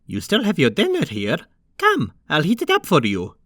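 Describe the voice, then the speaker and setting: A man playing a reassuring, easygoing older-brother plumber in an animated comic dub, talking casually with a teasing streak. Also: fairly high pitch, moderate pace, dry recording, studio quality. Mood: gently concerned.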